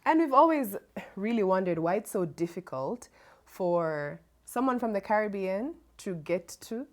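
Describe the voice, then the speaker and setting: A woman's voice: mid-range voice, lively intonation, a little slow. A Jamaican woman co-hosting a casual chat, talking freely and laughing easily. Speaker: co-host.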